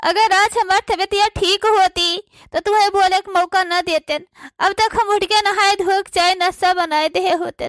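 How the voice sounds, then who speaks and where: A woman's voice: very high-pitched, a bit bright, dry recording. A woman playing a quarrelsome, self-pitying village housewife in a cartoon comedy, complaining and scolding with an Awadhi accent. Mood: ailing, complaining.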